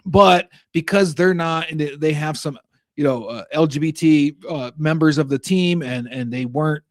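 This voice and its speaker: A man's voice: fairly high pitch, normal speed, no echo. A young man hosting a talk show, delivering a rambling, exasperated political commentary monologue. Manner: rambling, exasperated, frequent restarts.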